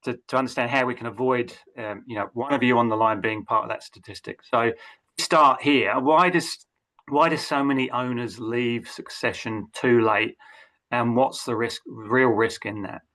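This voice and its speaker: A man's voice: mid-range voice, steady tone, normal speed, fairly bright tone. A man hosting a business webinar on a video call, speaking with many hesitations and restarts. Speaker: webinar host.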